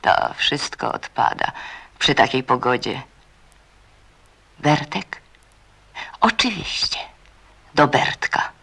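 A narrator reading a radio drama in a measured, suspenseful way, hushed at times. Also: medium pitch, lively intonation, vocal fry, breathy edge.

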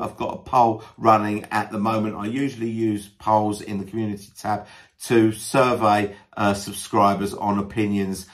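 A man with a fairly low voice speaks casually, as if answering questions for his own video channel. He is self-deprecating and jokey, and he fills his thinking time with frequent ums and uhs. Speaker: YouTube video creator. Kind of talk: casual talk to camera, answering a list of questions.

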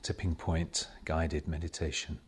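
A man leading a guided meditation as a spiritual teacher, speaking in measured, solemn short phrases with long pauses between them. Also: rumbling low, flat delivery, husky. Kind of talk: slow guided meditation talk.

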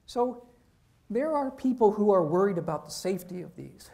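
A man's voice: high-pitched, animated, normal speed, gravelly, warm tone. A man, a professor, giving an informal university lecture, talking conversationally and checking in with "okay?".

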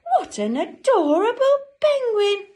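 A woman's voice: extremely high pitch, big pitch swings, slow pace, darkish tone, voice pushed up, heavily acted. A woman voicing a big, gushing man from a children's storybook, all exclamations. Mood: delighted. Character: great big man, gushing over a cute penguin.

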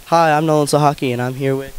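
A man's voice: on the high side, fairly even intonation, slight background noise. A teenage boy clowning around while interviewing classmates in a school gym, holding a handheld mic. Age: teens. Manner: fast, loose and joking. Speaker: student interviewer.

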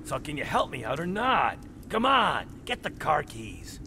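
A man's voice: fairly high pitch, huge pitch swings, measured pace, background noise, full character voice. An actor playing an edgy, evasive man stranded and desperate to escape in a video game, impatient, slangy and pushy. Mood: agitated, pushy.

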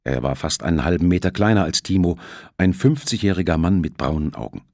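A man's voice: low-pitched, sing-song, fairly quick, vocal fry, darkish tone, no room sound, clean studio sound. A man narrating a thriller audiobook in a scripted, storytelling style.

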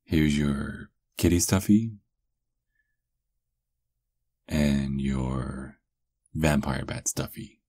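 A man's voice: rumbling low, slightly rough, no room sound, exaggerated voice. A man playing a doting, teasing vampire lover in an intimate, murmured ASMR roleplay, close to the microphone. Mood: adoring. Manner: slow, intimate murmuring with soft chuckles. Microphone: close microphone, dry.

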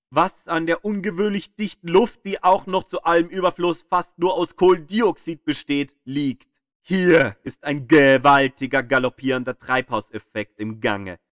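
A man narrating a scripted educational audio guide like a playful tour guide, with deliberate, emphatic storytelling delivery. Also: on the high side, dry recording, studio quality.

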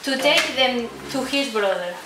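A teenage young woman reads a story haltingly from a script. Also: a little high, lively intonation. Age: teens. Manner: halting, stumbling reading. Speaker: student narrator of a puppet show. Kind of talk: scripted story read from paper. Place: indoor room at a day care centre. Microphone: distant camera mic. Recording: echoey room, distant noisy camera pickup.

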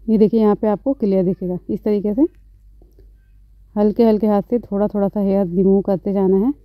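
Woman beautician, calm step-by-step tutorial voice, dry dull phone-recorded audio. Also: medium pitch, brisk, dry recording. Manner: calm, instructive, repeats phrases. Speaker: beautician, channel host.

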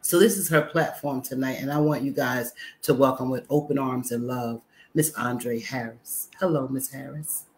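A woman's voice: a bass voice, normal speed, a little mellow, slight background noise. A woman hosting a talk show, effusive, speaking to her audience and welcoming a guest. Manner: effusive, addresses audience as y'all. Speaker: host.

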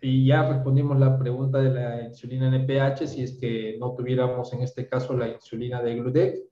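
A man's voice: mid-range voice, little pitch movement, brisk. A Peruvian man in his thirties, a doctor teaching an online workshop, explaining step by step and often checking with "¿está bien?". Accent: Peruvian Spanish. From Peru. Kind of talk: online medical lecture answering questions. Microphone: video call. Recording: roomy, distant, dull video call audio.

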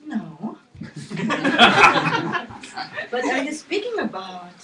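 A woman's voice: a little high, very animated, extremely slow, over a noisy crowd, heavily acted. A woman acting a stern wife who scolds her husband. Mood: indignant. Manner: indignant, laughing scold. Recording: echoey room, far from mic.

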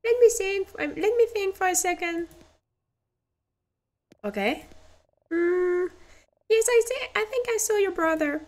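A woman with a French accent voicing a chatty bearded male store clerk in a game with a high, squeaky put-on voice. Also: lively intonation, a little slow, long pauses, darkish tone. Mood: warning.

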